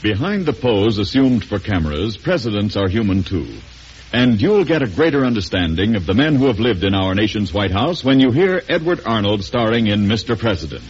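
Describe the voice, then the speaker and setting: A man's voice: fairly low pitch, very animated, fairly quick, breathy edge. A man working as a radio announcer, reading a promotion in a dramatic, formal 1940s style. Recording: muffled vintage radio recording.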